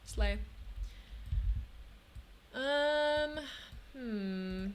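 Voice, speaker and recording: A woman's voice: high voice, lively intonation, drawn-out words. A young woman talking casually through a live game stream, thinking aloud with lots of hmms and ums. Her throat feels a little scratchy.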